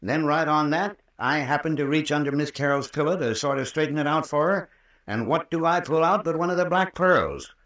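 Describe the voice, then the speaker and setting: An actor playing a folksy, patient, long-winded country doctor with a rural American accent in an old-time radio drama. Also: male voice, on the high side, fairly bright tone, close to an everyday voice. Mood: patient recounting. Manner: folksy, unhurried, patient storytelling. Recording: roomy, distant old radio recording.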